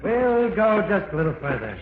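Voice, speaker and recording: A steady, learned professor. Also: male voice, high voice. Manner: measured, reassuring, authoritative. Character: calm learned male professor, explorer. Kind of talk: 1940s radio acting. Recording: tinny old radio broadcast, noisy, echoey.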